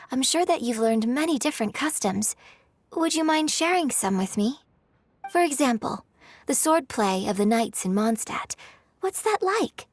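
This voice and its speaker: A woman playing a refined, courteous young opera performer in a video game, speaking with polite, formal, elegant phrasing. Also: a little high. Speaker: game character voice. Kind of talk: scripted video game dialogue.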